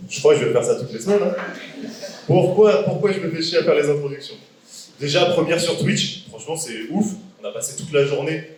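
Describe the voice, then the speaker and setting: A man's voice: a little high, animated, a little fast, a little mellow. A man in his late twenties giving a casual, joking live talk to an event audience, quizzing the crowd as he goes. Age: 20s. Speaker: guest speaker. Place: large event hall with an audience. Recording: echoey hall from far off.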